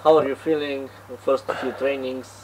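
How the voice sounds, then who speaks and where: A man's voice: mid-range voice, flat delivery, normal speed, crowd noise. A man asking brief, hesitant interview questions. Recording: distant voice with background noise.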